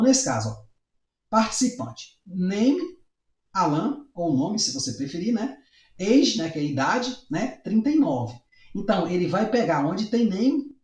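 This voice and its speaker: A man with a Brazilian Portuguese accent explaining programming in a casual coding tutorial, often checking in with tag questions. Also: sits high. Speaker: programming teacher. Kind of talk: screen-recorded coding tutorial. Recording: echoey room.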